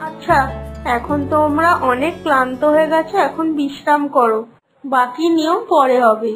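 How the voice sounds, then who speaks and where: A woman voicing a kind, doting mother-in-law in an animated fairy tale, gentle and reassuring. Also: high voice, moderate pace, faint music behind, lightly acted. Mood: welcoming.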